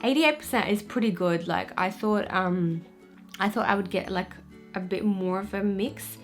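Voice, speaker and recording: A young woman from Pakistan talks straight to her viewers in a loose, chatty vlog, leaning on "um" and "like" as she goes. Her voice has a middling pitch. The room around her is echoey, with faint music underneath. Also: moderate pace. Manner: chatty, with many fillers like "um" and "you know". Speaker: vlogger. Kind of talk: casual vlog to camera.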